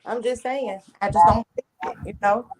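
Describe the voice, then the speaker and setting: A woman's voice: fairly high pitch, moderate pace, darkish tone, breathy edge, poor audio. An American woman chatting casually and bluntly in a group, swearing freely and sometimes stuttering. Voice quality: occasional stutter. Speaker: participant in a group live stream. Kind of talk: casual chat.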